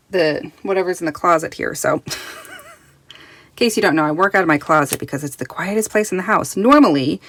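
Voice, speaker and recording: A woman reviewing a tarot deck in casual, rambling chat full of restarts, recorded in a quiet closet at home, her voice still recovering from being lost. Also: sits low. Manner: chatty, rambling with many restarts and fillers.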